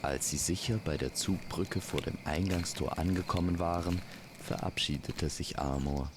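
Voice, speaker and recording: A man's voice: low voice, normal speed, background noise. A man narrating a scripted fairy-tale audio story as its storyteller.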